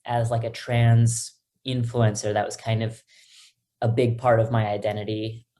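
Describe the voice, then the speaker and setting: A young man telling his own story in an interview, in a halting, hesitant way. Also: on the low side, little pitch movement, mellow. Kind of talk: personal testimony.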